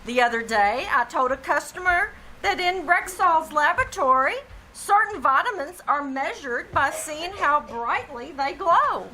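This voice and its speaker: A woman's voice: high-pitched, animated, a little slow, pushed and bright, slight background noise, heavily acted, low-quality recording. A woman playing an earnest, folksy family druggist and announcer in scripted 1940s radio style, with emphatic, careful salesmanship. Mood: earnest, assured. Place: theater stage in a live performance.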